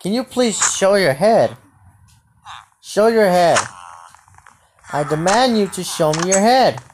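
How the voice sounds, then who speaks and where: A woman playing a bossy, easily startled king in an improvised game roleplay, haltingly repeating phrases. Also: medium pitch, lively intonation. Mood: puzzled, demanding. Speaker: video creator playing a roleplay. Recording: noisy home mic with clicks.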